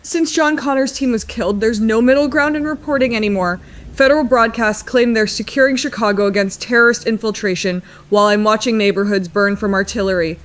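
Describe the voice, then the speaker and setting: A woman playing a paramedic who phones a radio show in a war drama, giving a grave, measured eyewitness account. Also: fairly high pitch, lightly acted. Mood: grim, measured. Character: grim, weary female paramedic witnessing a city siege. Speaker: radio caller.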